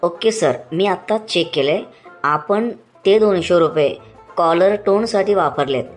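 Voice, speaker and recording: A woman's voice: lowish voice, unhurried, faint music behind, lightly acted. A woman voicing a patient male customer care agent in a comic cartoon, formal and courteous as she explains things. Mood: patient explaining. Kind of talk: comic cartoon phone-call sketch.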